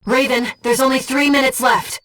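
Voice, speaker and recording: A woman voicing a gentle, earnest disembodied being in a video game, guiding a pilot with composed, precise, softly formal delivery. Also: high voice, little pitch movement, moderate pace, recorded from a distance. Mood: urgent. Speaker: mission support operator. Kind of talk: scripted video game voice acting.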